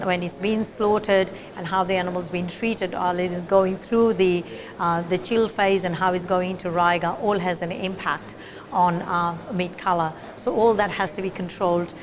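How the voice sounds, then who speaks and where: Fiji-born woman meat scientist. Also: fairly low pitch. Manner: explanatory, with frequent fillers and restarts. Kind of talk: interview answers.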